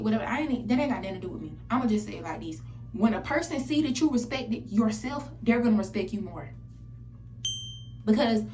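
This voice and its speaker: High-voiced blunt woman, echoey and distant. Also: a little mellow. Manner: blunt, profane, emphatic, repeats phrases. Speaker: self-help vlogger. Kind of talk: casual motivational monologue. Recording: echoey, distant mic, background noise.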